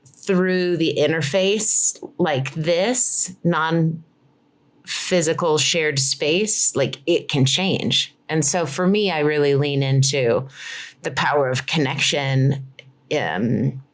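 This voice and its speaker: A woman's voice: sits low, takes it slowly. A woman from Colorado, a physical therapist, explaining her work enthusiastically in a conversational interview over a video call. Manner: enthusiastic, explanatory, uses "like" often.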